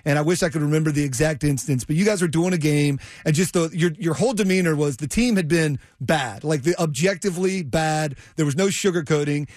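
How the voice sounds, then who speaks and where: Man, radio sports host, slightly high voice, effusive and rambling, casual interview talk, close dry sound. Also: brisk, hardly any pauses, a little mellow, dry recording. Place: radio studio.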